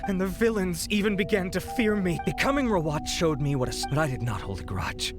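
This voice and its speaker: A man's voice: high voice, expressive, brisk. An actor playing a sorrowful man recounting his past in a dramatic, wistful manner for a video game. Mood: proud. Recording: music clearly behind voice.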